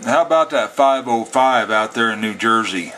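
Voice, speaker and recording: A man's voice: medium pitch, moderate pace, very noisy. An American man with a folksy, plain-spoken way, talking casually as a CB radio hobbyist. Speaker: amateur CB radio operator. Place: indoors at a home radio setup.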